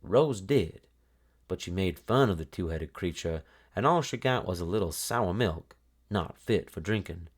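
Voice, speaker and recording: Deep-voiced, animated male storyteller. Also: measured pace, slightly rough. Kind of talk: expressive children's read-aloud narration.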